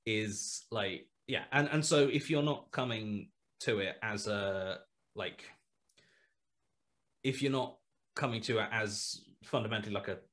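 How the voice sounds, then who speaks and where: Guest on a video call, lowish voice, talks in loose, restarting bursts. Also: male voice, unhurried. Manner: rambling, many restarts, animated asides. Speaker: guest, horror podcast writer. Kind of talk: casual video call interview. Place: small home room indoors. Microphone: headset mic on video call.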